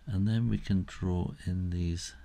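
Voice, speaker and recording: A middle-aged man giving a casual step-by-step drawing tutorial, unhurried and thinking aloud as he works. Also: sits low, steady tone, gravelly, dark tone.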